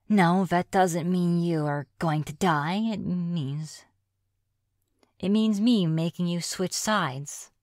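A woman's voice: lowish voice, darkish tone, dry recording, studio quality. A woman playing a reclusive, flirtatious witch in an ASMR fantasy roleplay, with a playful, mocking manner. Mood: smug, menacing. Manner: playful, mocking, drawn-out taunting. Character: reclusive, teasing, flirtatious witch of the deep woods.

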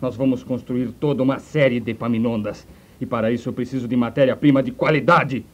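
A man's voice: mid-range voice, steady tone, fast, muffled recording, natural voice. A man playing a haughty, commanding mad doctor in a comic radio play. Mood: haughty, scheming. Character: imperious mad scientist doctor, proud of his creature.

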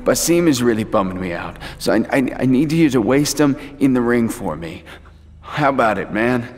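A man's voice: mid-range voice, lively intonation, normal speed, close to an everyday voice. A man acts out a jittery small-time prison dealer in a video game. His voice swoops about in whiny, stammering pleas, with long reverb and music behind. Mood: wheedling. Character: anxious, whining small-time male prison drug dealer.

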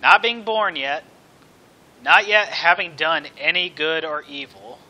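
Male preacher, slightly high voice, slow and emphatic delivery from the pulpit. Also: bright, forceful tone, lo-fi sound. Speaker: preacher, church elder. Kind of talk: sermon. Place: indoors at a church pulpit.

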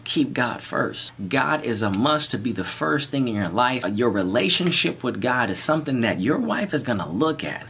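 A man's voice: mid-range voice, moderate pace, gravelly, hardly any pauses, slight background noise. A man, chatting playfully to the camera in a small room and giving marriage tips. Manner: animated, playful, jokes and repeats lines. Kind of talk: casual to-camera chat. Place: small bright room indoors. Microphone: camera in front of him at close range.

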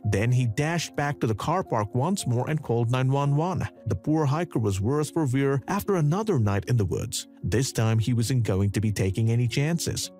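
A man's voice: moderate pace, few pauses. A man narrating a dramatic true story in a scripted voice-over. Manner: dramatic storytelling with animated pitch. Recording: narration over background music.

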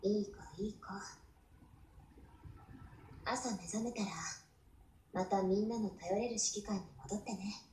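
Woman acting a gentle young anime warship woman, echoey. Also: medium pitch, steady tone, a little slow, pauses often, close to an everyday voice. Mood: soothing, intimate. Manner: soft, soothing, caring, often breathy. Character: gentle, devoted young warship woman comforting her commander. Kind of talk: Japanese anime game voice lines. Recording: echoey playback off a screen, faint noise.

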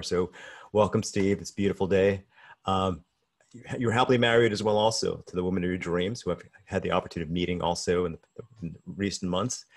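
A man in his forties hosting an interview over a video call from a room at home, eager and often restarting his words. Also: sits low. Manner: enthusiastic, repeats and restarts words. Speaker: podcast host. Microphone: video call with desk mic.

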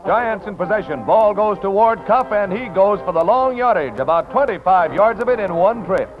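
A man's voice: high-pitched, expressive, normal speed. An American man giving punchy, rousing 1940s newsreel narration and commentary. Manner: rousing, punchy announcer delivery. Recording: dull lo-fi 1940s newsreel soundtrack. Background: loud music.

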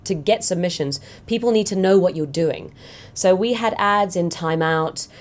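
A woman with a notably low voice gives practical advice in an interview. Her way of talking is direct and instructive. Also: normal speed. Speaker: radio play organiser giving advice. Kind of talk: explanatory interview.

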